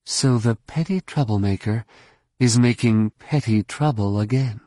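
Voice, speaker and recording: A man's voice: mid-range voice, measured pace, warm tone, dry recording, natural voice. A man voicing a fearless, stern woman warrior with a curt, commanding manner in an audiobook. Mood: taunting.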